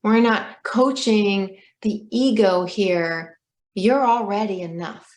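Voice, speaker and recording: Slow, soft-spoken woman life coach. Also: mid-range voice. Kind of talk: gentle coaching session. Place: home room on a video call. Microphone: video call.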